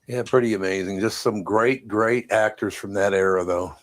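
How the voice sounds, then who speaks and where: An older man, hosting a casual podcast chat and telling stories with a relaxed manner. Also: moderate pace. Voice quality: deep voice. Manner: relaxed storytelling, chuckles. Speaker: podcast host.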